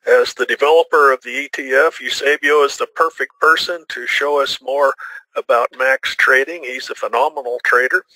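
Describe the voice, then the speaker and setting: A man opens a live webinar as its host, reading a smooth, upbeat script. His voice is very bright and forceful. It is recorded dry and close to the mic. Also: mid-range voice, steady tone, moderate pace, no room sound, low-quality recording. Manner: upbeat, smooth salesy delivery.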